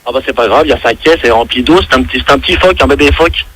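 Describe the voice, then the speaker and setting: A man's voice: medium pitch, very fast, fairly bright tone, husky, no echo. A man playing a polite, fussy hotel guest who rambles and hesitates on a prank phone call. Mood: earnest, doting. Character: polite, fussy male hotel guest, devoted pet owner. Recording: faintly noisy radio prank call.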